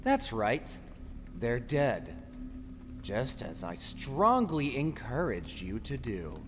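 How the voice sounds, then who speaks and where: A man's voice: mid-range voice, wildly swooping pitch, takes it slowly. A man playing a taunting, sinister voice inside a tormented man's mind in an audio drama, mocking and theatrical. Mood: cruel taunting. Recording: long reverb, faint background noise.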